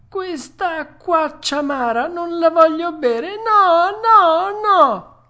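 A woman's voice: sits high, expressive, unhurried, pitched above the performer's own voice. A woman voicing a stubborn, whiny little wooden puppet boy in a storybook, childish and dramatic in manner. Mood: defiant, tearful. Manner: wailing, exclamatory, childish protesting. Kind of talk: storybook character voice.

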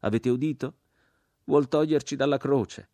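A man's voice: medium pitch, expressive, a little slow, slightly rough, dry recording, pitched above the performer's own voice. A man voicing a bitter, scornful crucified man in a dramatic literary reading, hurling taunting, declamatory questions. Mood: enraged, mocking. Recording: voice over music.

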